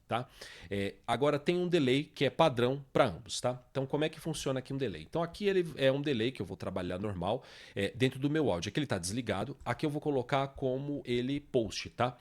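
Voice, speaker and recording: A Brazilian man presents a software tutorial in an informal, explanatory way. He goes step by step at a mid pitch, often punctuating his statements with short checking tags. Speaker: tutorial presenter, music production teacher.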